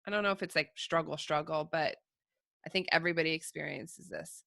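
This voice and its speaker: A woman's voice: low-pitched, steady tone, a little fast, vocal fry, bright, forceful tone, no echo, clean studio sound. A woman hosting a podcast interview, asking long and searching questions in a casual way. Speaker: podcast host.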